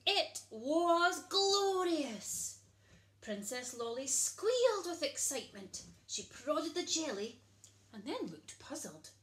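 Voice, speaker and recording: A woman, reading a children's picture book aloud in an animated storytelling style. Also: high voice, takes it slowly. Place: small child's bedroom, indoors. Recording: echoey small room.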